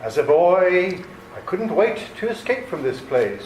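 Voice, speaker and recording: A man plays a sinister, grandiose prodigal villain in a radio play. His voice is high for a man and very animated, in an echoey room. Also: unhurried. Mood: sinister nostalgia. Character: sinister, theatrical long-lost criminal nephew. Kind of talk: old-time radio theater acting. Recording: echoey, faintly noisy stage recording.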